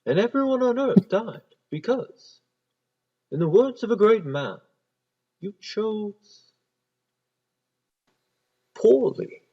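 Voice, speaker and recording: A man voicing the mock-dramatic narrator of an absurd comedy game, husky and theatrical. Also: high-pitched, very animated, slow, long pauses, muffled recording, exaggerated voice. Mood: scolding. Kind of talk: scripted comic narration.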